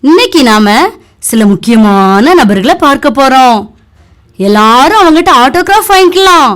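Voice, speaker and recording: A high, very lively female teacher for a puppet show , acted by a woman. She is kindly and instructive, delivering her lines expressively for young listeners. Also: normal speed. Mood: instructing. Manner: expressive, addressing children. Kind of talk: children's puppet show acting.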